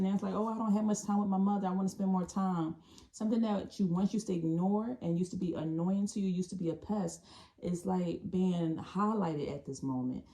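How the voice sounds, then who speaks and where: A woman giving an intuitive tarot reading, talking in a calm, meandering way and often restarting or repeating phrases. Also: on the low side. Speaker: tarot reader.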